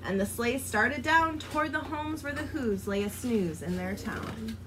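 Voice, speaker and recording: A woman's voice: a little high, a little slow, noisy background. Woman reading a rhyming storybook aloud. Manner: expressive, sing-song rhyming delivery. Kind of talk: reading a children's book aloud.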